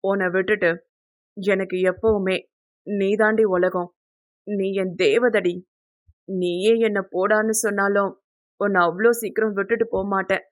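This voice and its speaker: A woman's voice: medium pitch, steady tone, unhurried, pauses often, no room sound, lightly acted. A woman voicing a devoted young man in love, speaking tenderly and earnestly in an audio novel. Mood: tender, passionate.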